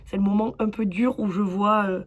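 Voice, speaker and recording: A woman's voice: medium pitch, steady tone, moderate pace, lo-fi sound. Candid French woman vlogging casually. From southwest France. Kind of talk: casual personal vlog.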